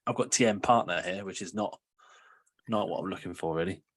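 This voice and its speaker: A man's voice: deep voice, brisk, a bit bright. A man co-hosting a casual podcast, teasing his friend with questions and often laughing. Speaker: podcast co-host. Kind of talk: casual chat.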